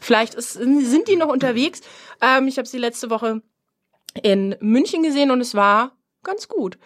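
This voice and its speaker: A woman with a cold, sounding stuffy, hosting a radio show in a relaxed chatty way with frequent hesitations. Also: sits high, normal speed. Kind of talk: casual radio chat.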